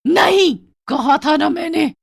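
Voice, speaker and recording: Slow, menacing, high-pitched old ghost woman. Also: gravelly, no echo, clean studio sound, heavily acted. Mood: gloating. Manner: slow, drawn-out, menacing delivery with evil cackles. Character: vengeful old woman ghost, menacing, bitter caretaker of haunted bungalow.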